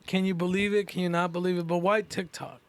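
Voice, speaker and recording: A man's voice: high voice, little pitch movement, quick pace, crowd noise. A man co-hosting a casual podcast in a blunt, slangy way. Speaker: podcast co-host.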